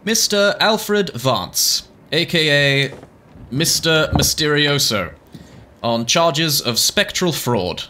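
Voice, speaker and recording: Bright, officious prosecutor. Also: male voice, fairly high pitch, lively intonation, a little hiss or hum, heavily acted. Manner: formal, deliberate announcing. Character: brisk-minded, officious male court prosecutor.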